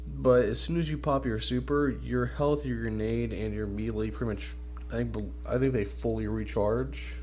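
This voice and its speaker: A man's voice: mid-range voice, fairly even intonation, moderate pace, a little mellow, faint music behind. A man with a stuffed-up, nasal voice from a cold, giving casual, informal video game commentary as a channel host.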